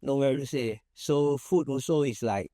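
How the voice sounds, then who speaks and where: Easygoing Malaysian man, mellow voice. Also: mid-range voice, unhurried, dry recording, studio quality. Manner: easygoing, rambling, many fillers and restarts. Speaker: managing partner of a small firm, guest. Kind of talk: casual interview answers.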